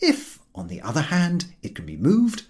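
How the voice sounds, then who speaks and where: A man voicing a pompous gentleman yachtsman from a comic storybook of 1900, formal, emphatic and exasperated. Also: fairly high pitch, huge pitch swings, moderate pace, pitched above the performer's own voice. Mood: sarcastic.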